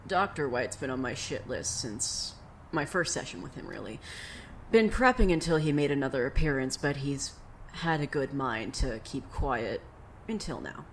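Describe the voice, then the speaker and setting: An actor playing a sardonic, profane young rescuer with a vengeful streak and a dry, deadpan delivery in an audio drama. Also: medium pitch, moderate pace, close to an everyday voice. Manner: deadpan, dry, hesitant in places. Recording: clear noise behind the voice.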